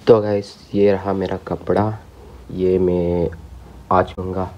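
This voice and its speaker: Teen guy, sore throat, low voice, chatty phone vlog, kinda dull lo-fi sound w/ faint hiss. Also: flat delivery, takes it slowly. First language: Persian. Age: teens. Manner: chatty, addresses viewers as guys. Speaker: vlogger. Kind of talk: casual vlog talk to camera. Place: home rooms and outdoors. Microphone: phone held at arm's length.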